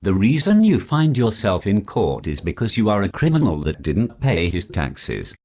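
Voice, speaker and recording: A scornful prosecutor, played by a man, who cross-examines with biting sarcasm. His voice is very deep, yet it swoops up and down with lively scorn. He treats every answer with open contempt. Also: moderate pace, heavily acted. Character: sneering foul-mouthed prosecuting lawyer. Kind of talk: comedy sketch acting.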